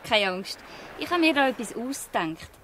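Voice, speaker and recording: A woman's voice: fairly high pitch, animated, moderate pace, fairly bright tone, slight background noise. A woman with a Swiss German accent playing a bold, scheming young girl who leads a gang, in a radio play. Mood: confident, mischievous. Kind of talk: dialect radio play acting.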